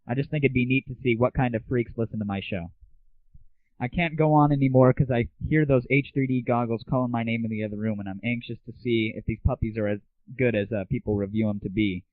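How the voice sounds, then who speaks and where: A man's voice: fairly even intonation, fast talker, dry recording. An American man hosting a casual, opinionated audio show in an irreverent, slangy way. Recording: muffled, tinny low-fidelity 1990s internet audio.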